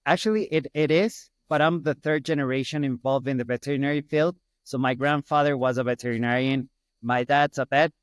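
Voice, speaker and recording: A middle-aged man from Ecuador with a Spanish accent talks casually as a podcast guest. His voice is clean and smooth, in a dry recording, and he often repeats phrases to make a point. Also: medium pitch, fairly even intonation, fairly quick, no echo. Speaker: podcast guest, hospital business owner. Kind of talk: casual interview storytelling.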